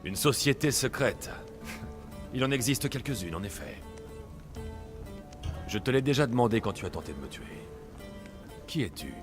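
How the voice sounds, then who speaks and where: A man voices a suspicious, headstrong mercenary in a dramatic video game. He is blunt and demanding, with lively swings in intonation. Music is clearly heard behind him. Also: medium pitch, moderate pace, long pauses, natural voice. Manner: blunt, forceful questioning. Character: bold, suspicious young Greek male mercenary demanding answers. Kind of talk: dramatic video game acting, French dub.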